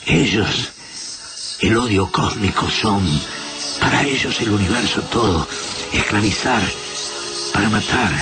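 Slow, deep, dying alien. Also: male voice, music in the background. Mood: grave, pained. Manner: halting broken syntax in short fragments. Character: dying alien slave invader, gentle, nostalgic, mournful. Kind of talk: radio drama acting.

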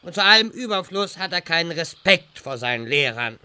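A man's voice: a little high, very animated, brisk, pushed and bright, smooth, heavily acted. A man playing a grumpy, evasive old wizard mentor who rambles peevishly in a parody audio drama. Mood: irritated.

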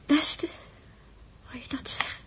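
A woman playing a guilt-ridden, frightened young space crew member in a 1960s radio drama, halting and often dropping to whispers. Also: a bit bright. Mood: troubled. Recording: noisy vintage 1960s radio recording.

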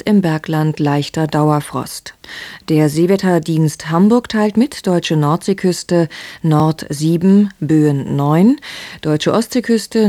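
A woman with a standard German accent reading a scripted radio news bulletin in a formal, measured delivery. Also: sits low, dark tone, dry recording. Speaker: radio newsreader.

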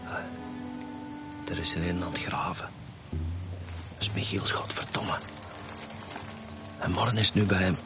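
Low-voiced grumbling Flemish detective. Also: male voice, fairly even intonation, measured pace, long pauses, music in the background. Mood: tense watching. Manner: whiny, colloquial. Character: grumbling, weary male police detective. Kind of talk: radio drama acting.

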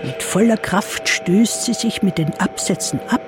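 Actress doing female storyteller in a radio drama, medium pitch, music going behind her. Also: unhurried, lightly acted. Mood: urgent storytelling. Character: female narrator recounting a couple's robbery. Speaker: narrator in a radio play. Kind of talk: dramatic scripted narration.

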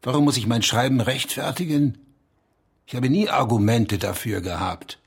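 An actor in a German radio drama playing a brooding, self-destructive writer and gruff lover, with a husky voice. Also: male voice, mid-range voice, moderate pace. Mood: agitated, defensive. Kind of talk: intimate German radio drama acting, part poetry.

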